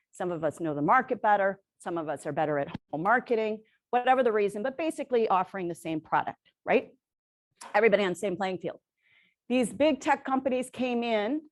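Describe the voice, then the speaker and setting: A woman presenting to a room of real estate agents, energetic and quizzing the audience, who says she is losing her voice. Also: medium pitch. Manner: energetic, asks the audience questions, tags lines with "right?". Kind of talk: sales presentation to a live audience.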